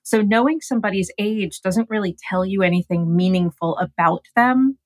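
A woman speaking as an expert guest on a radio interview, explaining ideas in an articulate, measured way. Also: mid-range voice, no echo. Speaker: gerontologist guest.

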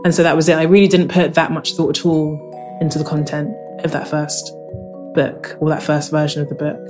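A woman's voice: low-pitched, fairly quick, over music. A young woman, born in Nigeria, talking reflectively in an interview and leaning on fillers like "kind of". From Nigeria, raised in South London. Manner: relaxed, many fillers like "kind of". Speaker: interviewee, writer.

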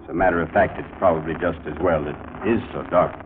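An actor playing a grim, cryptic cemetery watchman in a 1940s radio mystery, speaking in a measured, ominous calm. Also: rumbling low, phone-quality sound. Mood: calm, insistent. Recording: old 1940s radio broadcast, thin, faint noise.

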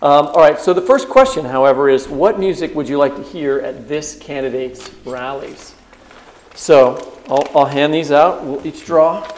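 A man's voice: mid-range voice, darkish tone, a little hiss or hum. A man co-hosting a podcast live on stage at a book festival, chatty and eager, laughing easily as he runs a game. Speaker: podcast co-host.

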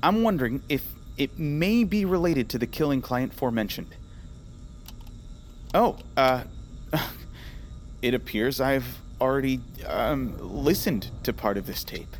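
Flustered, animated tape investigator. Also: male voice, mid-range voice, a little hiss or hum. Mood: puzzled, excited. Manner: halting, muttering to himself. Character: flustered male investigator combing through old tapes. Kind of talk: audio drama acting.

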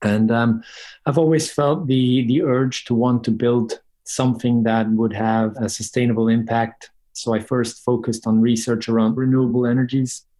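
A man's voice: mid-range voice, little pitch movement, moderate pace, warm tone. A man from Switzerland, a podcast guest explaining things in a methodical, conversational way. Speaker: guest, company co-founder. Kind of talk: conversational interview answers.

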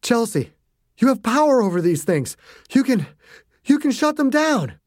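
Agonized father begging, gasping, raised pitch, narrator puts him on. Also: sing-song, moderate pace, a little mellow, no room sound, studio quality. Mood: pleading. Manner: pleading, breathless, panicked. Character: terrified desperate father in agony.

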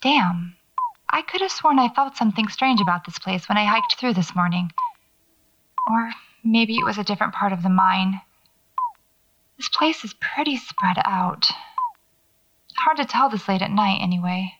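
A woman's voice: mid-range voice, moderate pace, long pauses, noisy background, natural voice. A woman acting in an audio drama as a wry horror novelist who records understated, musing field notes to herself while out alone at night. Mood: let down. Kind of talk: naturalistic audio fiction monologue, spoken field notes. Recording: dull lo-fi cassette-style tape.

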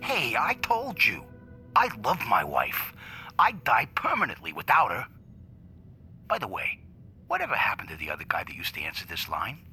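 An actor playing a troubled, defensive husband pleading intensely in an audio drama. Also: male voice, mid-range voice, wildly swooping pitch, moderate pace, pushed and bright, exaggerated voice. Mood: defensive, wistful. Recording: music under the voice. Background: music.

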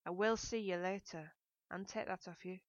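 A woman voicing an amorous, teasing gentleman in an audiobook, with a coaxing, wry and formal manner. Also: lowish voice, fairly even intonation, normal speed, dry recording, muffled recording, natural voice. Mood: wry, reluctant. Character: amorous, teasing gentleman lover, smoothly persistent.

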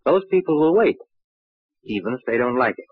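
An actor playing a shrewd, brusque homicide inspector in an old radio crime drama, clipped and commanding. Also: male voice, medium pitch, very animated, fairly quick, dry recording. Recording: muffled, band-limited old radio recording.

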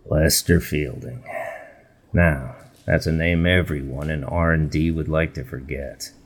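A man playing a weary research manager in a radio documentary interview, deadpan and dry. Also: a bass voice, a little slow. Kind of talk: documentary interview in audio fiction.

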